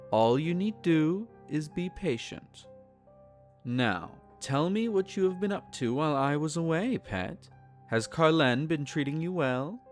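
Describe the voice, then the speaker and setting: Man voicing a courtly vampire master, slow, swooping intonation, intimate, teasing, possessive, formal phrasing, roleplay audio with music behind. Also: on the high side. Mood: teasing, coy. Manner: formal, teasing, savouring phrasing with sighs and soft chuckles. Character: possessive, courtly male vampire lord doting on captive pet. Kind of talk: intimate roleplay audio acting, partly whispered.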